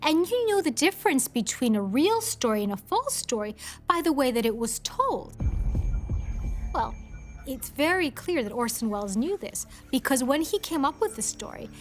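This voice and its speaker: Female documentary talking head, high pitch, very varied intonation. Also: big pitch swings, a little slow, soft background music. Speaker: commentator. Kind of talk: documentary interview commentary.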